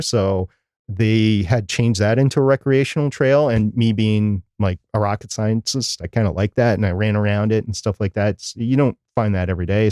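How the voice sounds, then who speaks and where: A man's voice: lowish voice, a little mellow, dry recording, clean studio sound. A middle-aged man, casually recapping as a podcast co-host in a rambling, plain-spoken way. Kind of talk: casual conference recap on a live chat show.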